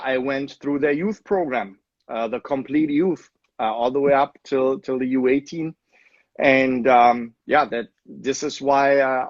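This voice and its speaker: A man answers in a relaxed, matter-of-fact way at an ordinary middle pitch. His audio sounds dry and a little muffled, with no room echo. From Germany. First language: German. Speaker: soccer club owner and general manager. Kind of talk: casual video-call interview.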